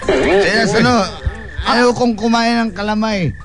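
An actor playing a boorish, bossy drinking husband in a Filipino radio drama, demanding and loutish, with a Filipino accent. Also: male voice, high voice, measured pace, over music. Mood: bossy, tipsy.

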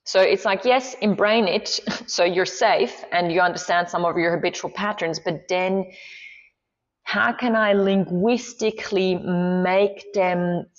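A woman's voice: lowish voice, fairly even intonation, measured pace, highs cut off. A middle-aged woman from Switzerland, a movement coach talking enthusiastically as a podcast guest and thinking aloud with many fillers. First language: Swiss German. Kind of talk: casual interview talk.

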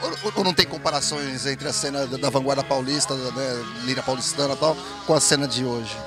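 A man's voice: medium pitch, fairly quick. A man hosting a music show, interviewing a band in a playful, teasing, theatrical way. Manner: jokey, teasing, theatrical outbursts. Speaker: show host. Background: band music in a rehearsal space.

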